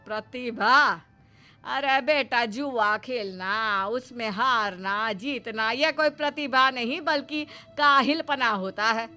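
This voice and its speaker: Casting: sarcastic, worried older saas for a story cartoon; high-pitched, emphatic, sharp-edged. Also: female voice, slow pace, faint music behind. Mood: disapproving. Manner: exasperated, emphatic. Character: scolding, sceptical older mother-in-law, sarcastic and worried. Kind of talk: Hindi moral-story cartoon dubbing.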